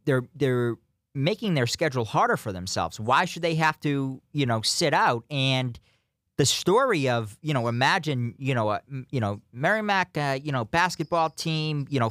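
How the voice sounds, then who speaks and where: A young man hosts a call-in sports radio show from a studio mic. He talks at a middling pitch and hesitates often, leaning on "you know" between thoughts. The sound is close and dry. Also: animated, moderate pace, fairly bright tone, dry recording. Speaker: radio host.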